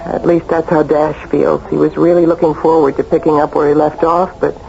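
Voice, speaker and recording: An actress in an old-time radio drama playing a protective, anxious wife, polite and solicitous in a theatrical way. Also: female voice, low voice, level tone, fairly quick, vocal fry, dark tone. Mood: concerned. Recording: dull old radio broadcast with music.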